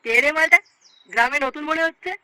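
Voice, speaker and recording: A performer voicing a bossy, swearing village gang leader in a comedy cartoon, with a pitched-up voice and crude delivery. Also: fast, bright, forceful tone, dry recording. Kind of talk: comic cartoon dialogue with slangy swearing.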